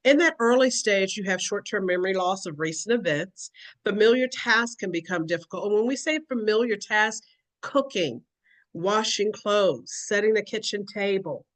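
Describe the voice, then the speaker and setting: A woman's voice: mid-range voice, animated, normal speed. Woman, educator, earnest explanatory talk, acts out examples.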